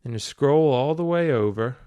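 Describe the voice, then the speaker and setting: A man's voice: mid-range voice, animated, moderate pace, dark tone, clean tone, no room sound, studio quality. A man giving a casual, hands-on tutorial. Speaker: tutorial video maker.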